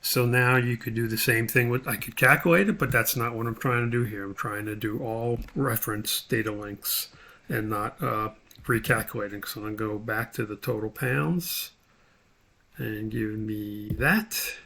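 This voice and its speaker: A man's voice: fairly low pitch, fairly even intonation, normal speed, gravelly, pushed and bright. A man giving an informal screen tutorial as an instructor, thinking aloud step by step as he demonstrates.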